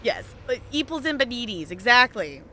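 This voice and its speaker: A woman live streamer chatting casually with her viewers while gaming, thinking aloud with halting pauses. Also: on the high side, big pitch swings, bright, forceful tone, slight background noise.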